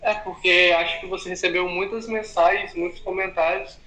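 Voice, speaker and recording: A man's voice: high voice, steady tone, moderate pace, sharp tone. A man from Brazil with a Brazilian accent, chatting casually as a co-host. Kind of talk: casual chat. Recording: roomy echo.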